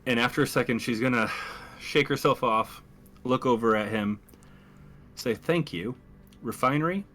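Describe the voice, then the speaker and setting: A man's voice: mid-range voice, moderate pace, fairly bright tone. A man playing a tabletop roleplaying game, speaking in character and describing actions in a terse, dry way.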